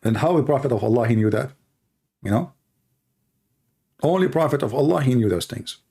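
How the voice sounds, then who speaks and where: A man from the Middle East, a native Arabic speaker with an Arabic accent, hosting an informal live call-in show in a sarcastic, mocking manner with halting phrasing. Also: mid-range voice, expressive, gravelly, dark tone, breathy edge. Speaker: host, religious apologist. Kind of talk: live call-in broadcast, rambling informal commentary.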